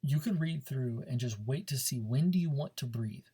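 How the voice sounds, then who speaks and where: Male speech teacher, soft-edged dark tone with some rasp, informal coaching delivery for a classroom lesson. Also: medium pitch, expressive, moderate pace. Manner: informal, coaching, checks understanding with frequent "okay" and "right".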